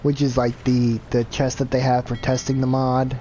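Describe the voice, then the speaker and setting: A man's voice: medium pitch, completely flat delivery, moderate pace, mellow, slight background noise. A man streaming a video game live, chatting casually and thinking aloud in a rambling, self-deprecating way. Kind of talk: casual gameplay commentary.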